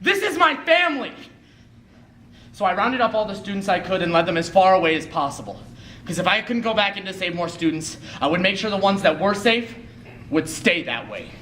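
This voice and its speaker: A high, bright-voiced school principal, acted by a young man for readers theater. He is earnest and protective, speaking with dramatic emphasis. The sound is distant and roomy, as if from a stage. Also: expressive, fairly quick. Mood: desperate, resolute. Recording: roomy live stage, distant mic, faint noise.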